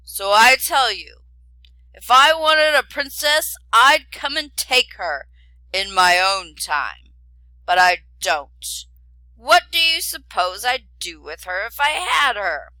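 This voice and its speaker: Storybook dragon, gruff and rude, slow loud growl, read by a woman. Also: a little high, expressive, no room sound, voice pushed up, exaggerated voice, low-quality recording. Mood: grumbling. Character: grumpy huge old dragon, gruff and rude.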